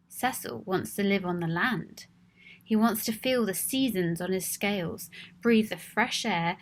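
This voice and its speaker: A woman reading her own children's story aloud in a gentle, expressive storybook way. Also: medium pitch.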